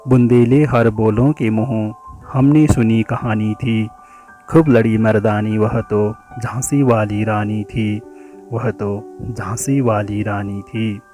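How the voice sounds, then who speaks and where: A man reciting a poem in a measured, declamatory way, drawing out the ends of lines. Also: mid-range voice, dark tone. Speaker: poetry reciter. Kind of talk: dramatic Hindi poem recitation.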